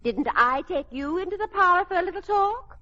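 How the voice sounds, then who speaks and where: A woman's voice: very high-pitched, lively intonation, brisk, bright, forceful tone, exaggerated voice. A woman playing a fluttery, girlish older mother in a radio sitcom, hesitant and dithering as she speaks. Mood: giddy, excited. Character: fluttery, girlish older mother giddy over her new fiancé. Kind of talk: old-time radio situation comedy acting. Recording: dull old radio recording, studio audience.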